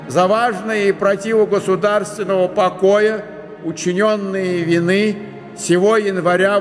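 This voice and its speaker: An actor in a radio play voicing an eighteenth-century official herald, formally and ceremoniously proclaiming a decree. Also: male voice, high-pitched. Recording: long hall reverb, music underneath.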